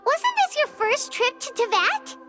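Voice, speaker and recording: A tiny, curious floating companion chirps in a very high voice that leaps up and down all over the place. She is bouncy and excitable, and a clear game soundtrack plays behind her in the cutscene. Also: unhurried, heavily acted. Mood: curious, alarmed. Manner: high-pitched, bouncy, talks about herself in third person. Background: game music.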